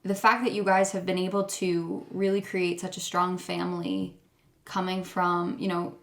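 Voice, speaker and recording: A woman's voice: lowish voice, normal speed, a bit bright. A young woman hosting a casual family podcast, chatty and asking her relatives leading questions. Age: young adult. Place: family home, around a table. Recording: echoey room.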